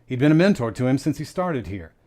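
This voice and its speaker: A man's voice: medium pitch, very animated, fast talker, mellow, close to an everyday voice. An actor playing a dutiful, unflappable male intelligence officer in an audio drama, formal and controlled. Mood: explanatory.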